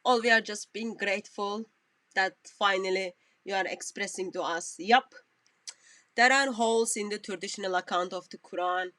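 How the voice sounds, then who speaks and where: A woman commentator, not a native English speaker, talking through a clip in halting, self-correcting phrases. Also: medium pitch, pushed and bright, no room sound. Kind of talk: reaction commentary on a played clip.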